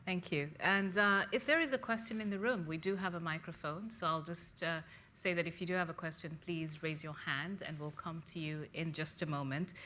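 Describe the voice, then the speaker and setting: A woman's voice: fairly low pitch, a little fast, pushed and bright, a little hiss or hum. A woman moderating a panel, politely reading out audience questions and inviting panelists to answer.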